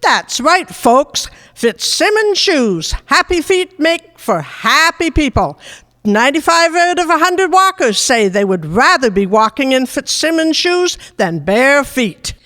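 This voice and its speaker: An actress plays a 1940s radio sponsor announcer with a high voice and very lively intonation. She delivers sing-song sales patter at an unhurried pace, ringing through a reverberant hall. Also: exaggerated voice. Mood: perky, sales pitch. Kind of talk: parody of 1940s radio commercial.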